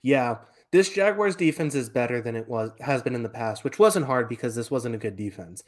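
A man's voice: mid-range voice, animated, fairly quick. A man co-hosting a sports podcast, talking through football analysis in a conversational way.

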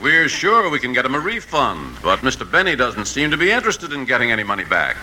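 A very sharp, bright voice cuts through faint vintage radio hiss as a polite tax official. He is formal and apologetic, with lively inflection in a comedy sketch. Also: mid-range voice, fast talker, heavily acted. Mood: polite, businesslike. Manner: formal, hesitant, courteous. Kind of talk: 1950s radio comedy acting. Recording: faintly noisy vintage 1950s radio broadcast.

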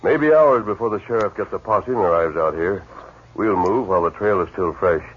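An actor playing a calm, commanding masked western hero who gives firm, decisive orders, in a 1950s radio drama, in a tinny, hissy old broadcast recording. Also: male voice, sits low, a little fast, natural voice. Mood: steady command.

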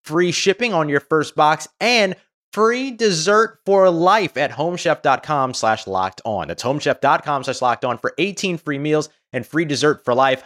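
A man's voice: a little high, fairly bright tone. A man reading a scripted first-person podcast advertisement. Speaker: ad reader.

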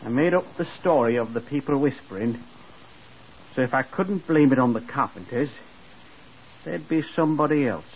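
An actor playing a bitter, sneering young murderer who was dismissed as dim, in a mocking and defiant 1950s radio crime drama style. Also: male voice, mid-range voice, moderate pace, long pauses, darkish tone, husky. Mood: bitter, confessing. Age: 20s. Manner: mocking, defiant, breaking into chuckles. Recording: muffled, noisy 1950s radio recording.

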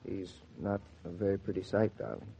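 An actor playing a gentle, reticent, solemn young husband in a 1940s radio drama. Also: male voice, low-pitched, flat delivery, brisk, vocal fry, breathy edge, exaggerated voice. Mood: subdued, tender. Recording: dull vintage 1940s radio recording.

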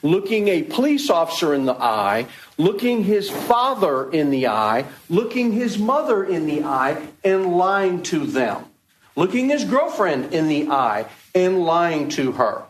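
A man's voice: on the high side, sing-song, unhurried. A man prosecutor giving a closing argument in court, emphatic and repetitive as he accuses the defendant. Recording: roomy courtroom sound.

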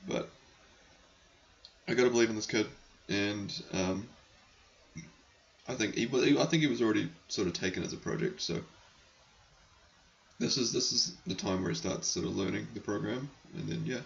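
A man hosting a casual hockey podcast and answering listener questions. He is losing his voice, clears his throat often, and talks in a rambling, frank, sometimes crude way. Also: on the low side, moderate pace, pauses often, highs cut off. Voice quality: gravelly, croaky.

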